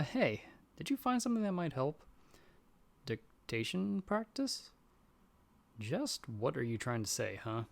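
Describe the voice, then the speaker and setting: Man acting as nervous, chatty young guy friend. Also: mid-range voice. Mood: casual, friendly, then playfully indignant. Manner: casual, rambling, self-deprecating, chuckling between phrases. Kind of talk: audio roleplay addressed to the listener as a friend.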